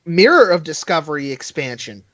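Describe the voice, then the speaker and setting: A podcast co-host in a casual, animated and argumentative fan discussion. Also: medium pitch, normal speed, dry recording, lo-fi sound.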